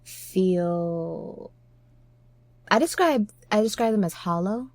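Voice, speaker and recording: A woman's voice: medium pitch, expressive, takes it slowly, darkish tone, dry recording. A woman podcast host chatting casually and reflectively, informal and emphatic, often checking in with her listener.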